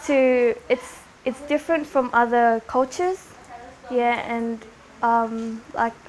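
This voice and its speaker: A woman's voice: on the high side, a little slow, low-quality recording. A young woman giving a casual, hesitant interview answer. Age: young adult. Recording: noticeable background noise.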